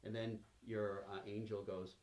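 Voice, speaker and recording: A man narrating a casual tabletop game as game master, halting as he improvises his way along. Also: on the low side, little pitch movement, a little mellow, reverberant.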